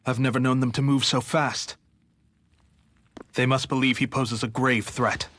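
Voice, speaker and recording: An actor playing a calm, protective and authoritative male resistance leader in a video game. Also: medium pitch, unhurried, dry recording. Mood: measured, concerned. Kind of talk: video game cutscene acting.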